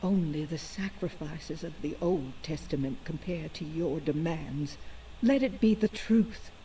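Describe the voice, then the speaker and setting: An actress playing a devout, desperate woman seeking voodoo aid, earnest and measured. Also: low voice, warm tone. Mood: earnest prayer. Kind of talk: game character dialogue. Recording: distant game audio with faint noise.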